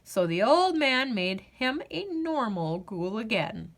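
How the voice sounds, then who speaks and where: Woman, children's read-aloud narrator, high voice, lively melody, dramatic, deliberate. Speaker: storyteller, host of a read-aloud show.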